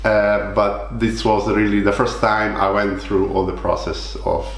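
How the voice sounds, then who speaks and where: Low-voiced man speaking hesitant non-native English. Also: little pitch movement, a little slow, reverberant, poor audio. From Italy. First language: Italian. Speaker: guest, property investor. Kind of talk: casual interview answers.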